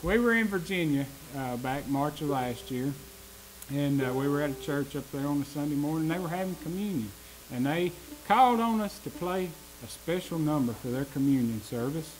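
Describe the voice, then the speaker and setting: A man's voice: a little high, lively intonation, moderate pace, rough recording. A man doing joking, folksy stage banter as a bluegrass band's frontman, recorded on a stand mic in a small wood-panelled room. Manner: wisecracking, laughing, folksy.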